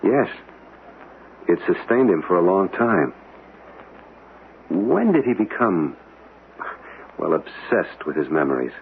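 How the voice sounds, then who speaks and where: An earnest, courteous man in a radio ghost mystery. His voice is on the low side and measured, heard through a thin, phone-like broadcast with faint noise. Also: big pitch swings, exaggerated voice. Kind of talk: radio drama acting. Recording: narrow, noisy old radio broadcast.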